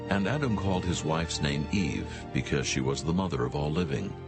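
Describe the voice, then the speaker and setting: Very deep, gravelly male narrator. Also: animated, over loud music. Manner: formal, measured. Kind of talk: solemn scripted scripture narration.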